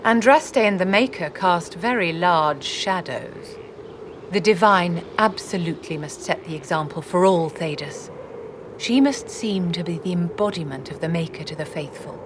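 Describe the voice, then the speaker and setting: Casting: actress for haughty game aristocrat, low register, poised and condescending, over clearly noisy ambience. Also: female voice, moderate pace, lightly acted. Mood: grave, instructive. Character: imperious, elegant court lady, poised and calculating. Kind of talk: dramatic video game acting.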